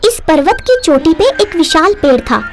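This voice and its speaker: High-pitched woman narrating children's stories. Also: sing-song, normal speed, noisy background. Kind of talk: scripted children's story narration.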